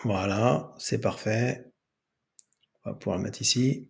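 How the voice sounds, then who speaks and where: A man's voice: fairly low pitch, a little slow, vocal fry. A man hosting an improvised screen tutorial, hesitantly narrating each step aloud. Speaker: tutorial host.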